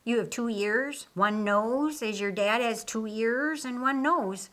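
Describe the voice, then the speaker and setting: A woman voicing a calm, assured male religious teacher in an audiobook, speaking deliberately and stressing key words. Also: on the high side. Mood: instructive. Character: Jesus, a man, calm, assured, kindly teacher. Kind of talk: audiobook character dialogue.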